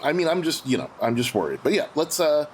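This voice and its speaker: Male role-playing gamer, expressive swings in pitch, casual collaborative delivery, slight background noise. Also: fast talker, rough recording. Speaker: tabletop game player. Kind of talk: casual collaborative planning talk.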